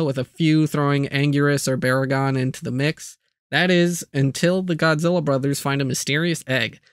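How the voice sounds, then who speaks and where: A man with a somewhat high-pitched voice narrates as the host of a video channel. The sound is dry, with very little room in it. His scripted delivery is broken up by light, self-aware asides. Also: fairly bright tone, no room sound.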